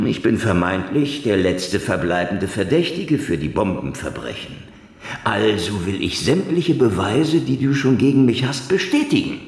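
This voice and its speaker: An actor playing a cynical, world-weary Russian ex-detective in a noir radio drama, with a wry, mocking delivery. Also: male voice, on the low side, big pitch swings, moderate pace, husky, full character voice. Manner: sardonic, wry, theatrical delivery.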